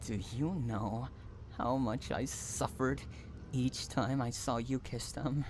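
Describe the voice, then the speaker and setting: A man performs an unhinged, possessive stalker in an intimate audio drama, speaking slowly to a captive lover. He coos endearments and threatens in the same breath, with a menacing, obsessive temper. There is some noise behind the voice. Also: mid-range voice, fairly even intonation, lightly acted. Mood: wounded, obsessive. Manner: cooing endearments mixed with threats. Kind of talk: dramatic roleplay monologue addressed to the listener.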